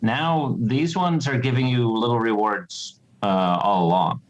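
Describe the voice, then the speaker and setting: A man giving casual interview answers as a game developer, explaining in a halting way. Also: mid-range voice, low-quality recording.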